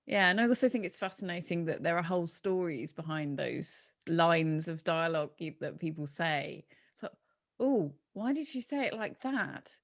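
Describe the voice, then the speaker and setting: A woman's voice: on the low side, normal speed. A woman hosting a conversational interview, talking in an enthusiastic, affirming way. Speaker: podcast host and interviewer.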